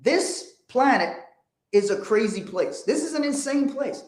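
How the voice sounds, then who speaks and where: A man's voice: very high voice, lively intonation, a little slow. Blunt man in an echoey room. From Liberia. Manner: blunt, profane, emphatic. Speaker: host. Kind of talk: motivational livestream talk.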